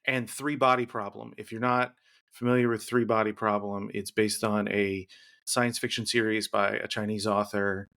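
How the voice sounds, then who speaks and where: A man hosting a podcast in casual conversation, rambling through his points and quick to tease his guest with jokes. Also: fairly low pitch, level tone, creaky, fairly bright tone. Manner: rambling, teasing, quick to joke. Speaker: podcast host.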